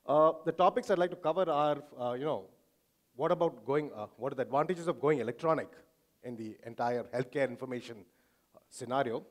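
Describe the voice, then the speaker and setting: A man's voice: medium pitch, brisk. A man with an Indian accent giving an explanatory conference talk as a physician, addressing the audience informally with everyday examples. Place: hotel conference hall, speaking to an audience.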